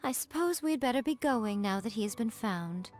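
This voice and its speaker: A woman's voice: on the high side, animated, normal speed, a little mellow, faint music behind, lightly acted. A woman playing an elegant, teasing fox-spirit shrine maiden in a video game, poised and amused. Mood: coy, amused.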